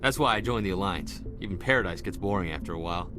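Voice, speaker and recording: A man acting an eager, restless young marine corporal in a video game, excitable and earnest. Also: low voice, quick pace, lightly acted. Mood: wistful. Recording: loud music behind the voice. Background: music.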